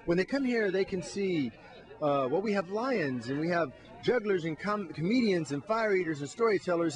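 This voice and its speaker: Male festival participant, high-pitched and animated, informal listing delivery, busy crowd behind. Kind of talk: informal on-camera talk listing attractions. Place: outdoors at a festival.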